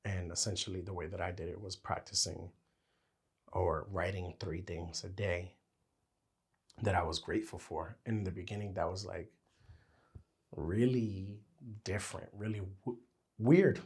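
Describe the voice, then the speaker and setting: A man co-hosting a podcast in casual chat, losing his voice and thinking out loud as he talks. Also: low voice, steady tone, normal speed, long pauses, husky. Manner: talks his thoughts out as he goes. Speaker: podcast co-host.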